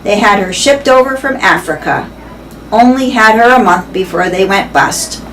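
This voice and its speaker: A woman's voice: medium pitch, moderate pace, a bit bright, lightly acted, low-quality recording. A greedy, folksy bully of a showman , as a woman reads him aloud. He blusters his way through a storybook. Some noise sits behind the voice. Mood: boastful. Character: gruff, greedy, bullying circus-mall man. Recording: background noise.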